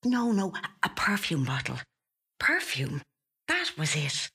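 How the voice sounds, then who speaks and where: A woman's voice: fairly low pitch, wildly swooping pitch, slow, bright, forceful tone, slightly rough, exaggerated voice. A woman actor voicing a frail, obsessive wife with a fretful, inward, muttering manner in a short story. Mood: distracted, groping.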